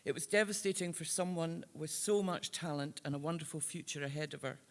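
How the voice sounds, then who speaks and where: A man presenting an award with a prepared, solemn tribute speech, earnest and slightly halting over his words. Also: a little high, creaky, a bit bright. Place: live awards ceremony in a hall. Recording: echoey hall with long reverb.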